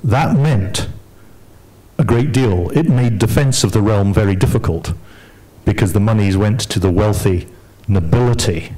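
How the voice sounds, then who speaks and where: Male historian, low voice, digressive lecturing manner at a panel discussion. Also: unhurried, warm tone, rough recording. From Scotland.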